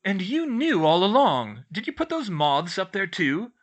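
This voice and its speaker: A man voicing excitable, mischievous teenage twin brothers in a loud, exclamatory way for a storybook reading. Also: medium pitch, moderate pace. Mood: indignant. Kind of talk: audiobook character voice.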